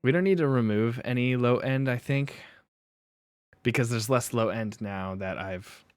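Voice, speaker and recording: A man streaming live as a music producer, chatting casually and thinking aloud in halting bits with fillers while he works on a mix. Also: medium pitch, clear, resonant tone, dry recording.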